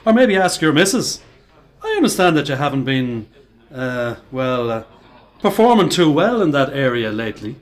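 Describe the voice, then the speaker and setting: An actor playing a self-assured, dry-witted factory worker with a Dublin accent in a radio play. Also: male voice, mid-range voice, sing-song, moderate pace, lightly acted. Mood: taunting. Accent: Irish, Dublin. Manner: wry, teasing, confident.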